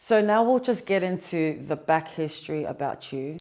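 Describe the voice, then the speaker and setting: A woman's voice: sits low, expressive, normal speed, dark tone. A woman interviewing a guest, asking brief, plain questions.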